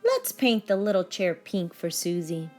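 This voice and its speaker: A woman's voice: fairly low pitch, wildly swooping pitch, a little slow, a little mellow, faint music behind. A woman voicing an indignant, pouting little boy in a storybook reading. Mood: cheerful. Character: indignant little boy jealous of baby sister.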